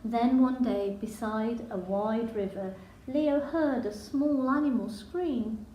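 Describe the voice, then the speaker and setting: A woman reading a children's picture book in verse with a measured, dramatic storytelling delivery. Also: a little high, mellow, slight background noise. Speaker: storybook reader. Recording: echoey room.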